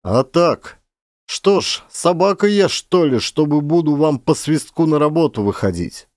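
A man's voice: fairly high pitch, lively intonation, a little slow, no room sound, studio quality, pitched above the performer's own voice. A man playing a stubborn, grumbling peasant. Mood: defiant, annoyed.

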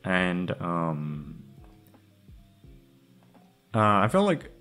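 A man's voice: low voice, sing-song, extremely slow, a bit bright, soft background music. A young man, a voice actor, chatting casually to listeners in an effusive, sweary, slangy way. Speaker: voice actor, channel host. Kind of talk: casual outro chat.

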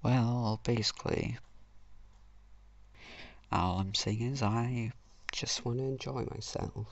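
Gravelly, husky teenage boy, slow drawl. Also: no echo, lo-fi sound. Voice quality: gravelly, husky, flat-sounding voice. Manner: low-key, rambling, self-mocking. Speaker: YouTuber. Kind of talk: casual channel intro voice-over.

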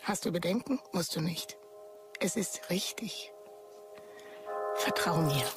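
An actress playing a persuasive, quietly controlling handler in a sci-fi audio drama, coaxing and approving. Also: female voice, lowish voice, expressive, slow, music in the background.

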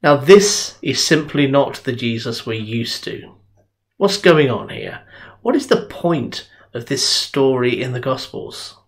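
A man's voice: medium pitch, lively intonation, takes it slowly. Middle-aged guy minister doing a sermon from his home study on a webcam, chatty and earnest. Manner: conversational, earnest storytelling. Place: home study with bookshelves, indoors. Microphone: webcam at desk.

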